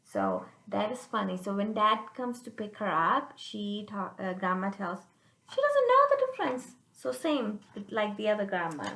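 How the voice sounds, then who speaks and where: A woman reading a picture book aloud to children, pausing to explain it simply and check they follow, in a roomy recording. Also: medium pitch, creaky. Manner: animated, checks understanding with listeners.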